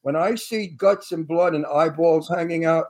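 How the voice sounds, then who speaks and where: A man's voice: on the high side, crowd noise. A man in his eighties hosting a radio talk show, chatty and prone to repeating himself. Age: 80s. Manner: chatty, repeats himself, quick-tempered asides. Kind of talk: casual talk show banter.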